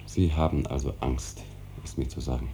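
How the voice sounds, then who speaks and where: An actor playing an anguished, insistent husband in a 1950s German radio drama, earnest and pressing, on an old noisy recording. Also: male voice, very deep voice, fairly even intonation, moderate pace, warm tone.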